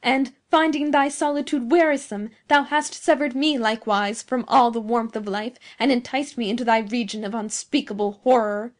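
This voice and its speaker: An enraged young man, acted out by a woman in a dramatic storybook tale. He is venomous and scornful, hurling archaic phrases in forceful, declamatory outbursts. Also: a little high, steady tone, a little slow, hardly any pauses. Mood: venomous anger.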